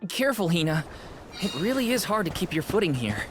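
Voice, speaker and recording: An actor playing an earnest, loyal young hero in a fantasy video game, boyish and a little hesitant. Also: male voice, high-pitched, very animated, fairly quick, music in the background. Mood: caring. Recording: noisy ambience behind voice.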